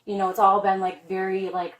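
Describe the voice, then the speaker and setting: A woman's voice: mid-range voice, monotone, brisk. A middle-aged woman, a mother, talking to a police officer in a rambling, earnest and worried way, full of "like" fillers. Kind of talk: spoken statement to an officer. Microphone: police body camera worn by an officer. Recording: roomy, distant body camera audio.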